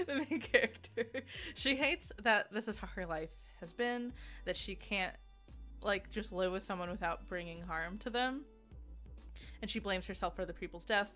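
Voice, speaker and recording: A woman's voice: mid-range voice, fairly bright tone. A woman giving a casual, chatty game review, rambling and interrupting herself, with a giggly manner. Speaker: video game reviewer and streamer. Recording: music under the voice. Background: background music.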